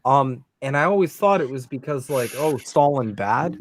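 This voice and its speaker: A man's voice: medium pitch, expressive, normal speed. A man chatting casually on a political panel, telling stories with swearing and acted-out quotes. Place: online group call.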